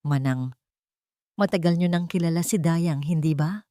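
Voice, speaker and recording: A woman's voice: on the low side, moderate pace, dark tone, no room sound, natural voice. A woman voicing an anguished, commanding billionaire father in a Tagalog audio story. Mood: grave, probing. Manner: commanding, urgent. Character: anguished billionaire father barking urgent orders.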